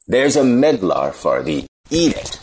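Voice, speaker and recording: An actor playing a cynical, needling Athenian philosopher in a Shakespearean audio play, deliberate and mocking. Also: male voice, medium pitch, big pitch swings, darkish tone, rough recording.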